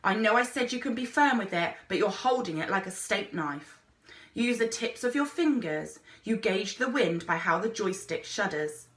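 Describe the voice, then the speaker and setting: Woman acting a daredevil male explorer, commanding, slightly mad, urgent instructions, bright forceful tone, roomy sound, storybook reading. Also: mid-range voice, expressive, normal speed, natural voice. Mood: coaching. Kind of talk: storybook character voice.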